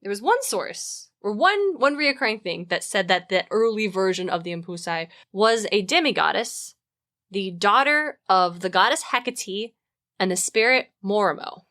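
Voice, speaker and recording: A woman's voice: medium pitch, animated, normal speed, a bit bright, dry recording, clean studio sound. A woman co-hosting a podcast, chatting casually and informally about mythology as she thinks aloud. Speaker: podcast co-host.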